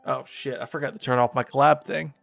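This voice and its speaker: A man's voice: medium pitch, steady tone, fairly quick, gravelly, a bit bright, faint music behind. A man chatting casually as a game streamer, swearing and joking in loose asides. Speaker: streamer reading a visual novel aloud. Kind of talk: casual stream chat and read-aloud narration.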